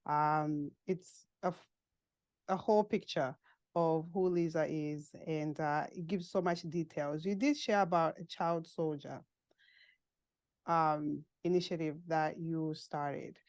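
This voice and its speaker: Woman host, chatty and quick, lowish voice, dry close sound. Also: fairly even intonation, a little mellow, no room sound. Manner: chatty, quick, laughs while asking. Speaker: podcast host. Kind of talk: interview conversation.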